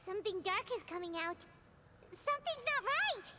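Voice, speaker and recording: A woman voicing cute, eager, gentle little girl characters in an animated game dub. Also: very high-pitched, moderate pace, background noise. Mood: eager, alarmed.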